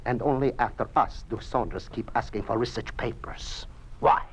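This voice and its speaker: A man's voice: mid-range voice, normal speed, slight background noise, natural voice, low-quality recording. A man playing Mazzini, an anxious immigrant scientist who speaks urgent, broken English in a 1950s radio drama. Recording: old radio broadcast recording.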